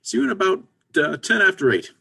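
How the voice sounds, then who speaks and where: A man's voice: high voice, very animated, fairly quick, creaky, bright, forceful tone, slightly rough, heavily acted. A man in a comedy reading, playing a preoccupied, matter-of-fact married friend with a dry manner. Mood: dry, practical. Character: preoccupied, businesslike married friend, dryly encouraging. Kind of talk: comedy readers theater.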